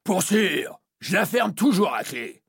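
A man voicing a blustering, gruff pirate captain who shouts and swears old-fashioned oaths. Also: sits high, sing-song, takes it slowly, no echo, clean studio sound, pitched above the performer's own voice, exaggerated voice.

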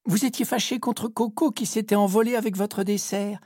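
A nervous young cabin boy, played by a woman, stammering out excuses in a soft, husky, mellow voice. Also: medium pitch, steady tone, normal speed, no echo, studio quality, natural voice. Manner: stammering, defensive. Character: timid young male ship's boy.

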